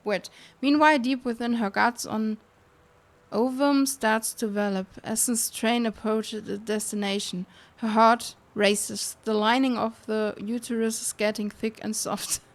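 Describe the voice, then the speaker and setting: Slow-paced, higher-voiced woman streamer reading aloud. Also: steady tone. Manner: giggly, breaking into laughter while reading. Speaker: live streamer playing a video game. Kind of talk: reading game text aloud on a livestream.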